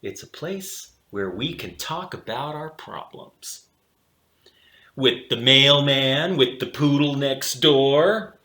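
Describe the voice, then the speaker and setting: A self-assured storybook dog who runs the club , played by a man. His delivery is unhurried and explanatory, with big swings in pitch. The sound is bright and roomy. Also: animated. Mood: proud. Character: male pet dog, cool self-assured club boss. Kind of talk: storybook character voice.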